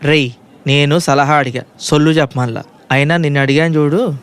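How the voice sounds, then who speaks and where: A man's voice: a little high, fairly even intonation, fairly quick, clean tone, natural voice. A man acting as a frustrated, lovesick young college student in a comedy audio drama, grumbling in colloquial banter over music. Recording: music behind the voice.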